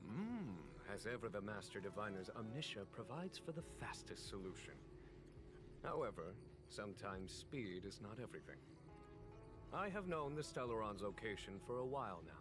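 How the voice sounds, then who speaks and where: With music clearly behind him, a calm and shrewd male general from a video game speaks in a lowish voice. He is measured and authoritative, musing over each point without any hurry. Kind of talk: scripted video game voice acting. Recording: background music under the voice.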